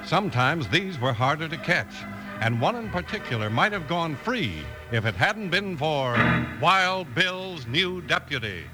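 A man's voice: medium pitch, low-quality recording. A man announcing and narrating in 1950s radio style, with an emphatic, punchy showman delivery. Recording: old radio recording with music. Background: music.

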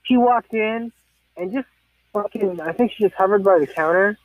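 A man's voice: high-pitched, normal speed, no echo. A man in his thirties telling rambling, profane anecdotes in casual chat. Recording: tinny phone-like sound.

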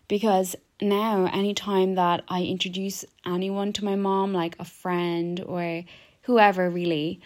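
Young woman, reflective and casual. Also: fairly low pitch. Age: young adult. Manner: reflective, often says "like", lines trail off quieter. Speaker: podcast host. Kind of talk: casual personal monologue.

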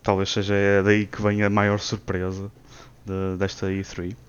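Male co-host, low smooth voice, hesitant; dull lo-fi recording. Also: monotone, normal speed. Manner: thoughtful, hesitant with hums. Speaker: podcast co-host. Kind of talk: casual gaming podcast chat. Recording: lo-fi muffled mic.

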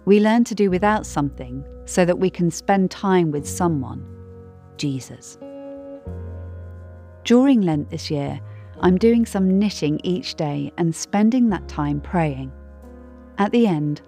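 A woman reading a scripted devotional in a gentle, measured, reflective way. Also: on the low side, animated, soft background music. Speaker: devotional reader.

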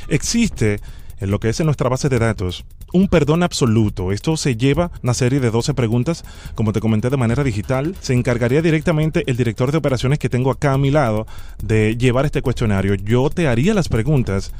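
A man's voice: medium pitch, fairly quick, darkish tone, natural voice. A man playing a formal, bureaucratic immigration officer in a prank phone call, sternly polite and officious. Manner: formal, officious, repeats the name.

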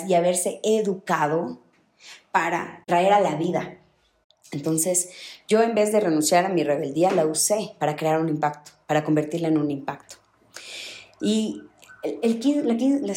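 Woman from Ecuador, motivational speaker, lowish voice, earnest and repetitive, echoey room. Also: darkish tone. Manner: earnest, repeats phrases for emphasis. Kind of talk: motivational talk.

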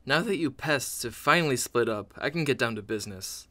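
An actor playing a mischievous, sneering haunter in a comedic audio drama, full of sarcastic muttered asides. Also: male voice, mid-range voice, animated, fairly quick, over music, natural voice. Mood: scheming, smug. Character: sneering mischievous male haunter reading a scaring manual.

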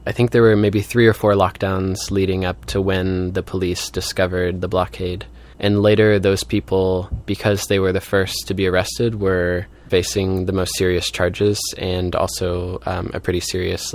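A man's voice: low-pitched, level tone, moderate pace, hardly any pauses. A man speaking informally as an activist in an interview, thinking aloud and peppering his sentences with "like". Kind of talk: casual spoken interview.

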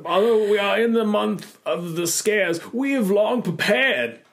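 A man's voice: high-pitched, expressive, a little slow, vocal fry, fairly bright tone. A man co-hosting a silly podcast chat, putting on an affected fancy voice that slides into a mock British accent, laughing often. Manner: put-on posh voice sliding into a mock British accent, giggly. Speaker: podcast co-host.

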